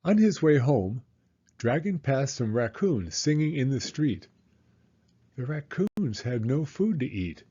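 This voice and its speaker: A man reading a storybook aloud to young children in a deliberate, expressive storytelling way. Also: medium pitch, husky, muffled recording.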